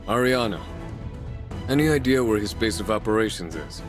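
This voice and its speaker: An actor playing a steady, practical commando in a video game, speaking in a terse, earnest tactical manner. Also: male voice, fairly low pitch, normal speed, over music, lightly acted. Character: steady, resolute male commando, practical and cool-headed. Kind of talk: video game cutscene acting.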